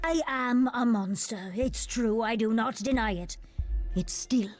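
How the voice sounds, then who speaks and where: A woman's voice: on the high side, unhurried, faint music behind, lightly acted. An actress playing a cursed, blood-hungry female monster spy who speaks in formal, archaic, pleading phrases in a video game. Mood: pleading, sorrowful. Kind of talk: theatrical video game voice acting.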